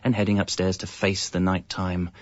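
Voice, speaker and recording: An actor playing a wry husband and young father, narrating a comic radio monologue in a deadpan, confessional way. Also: deep voice, normal speed, natural voice. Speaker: narrator and lead character. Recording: muffled, band-limited audio.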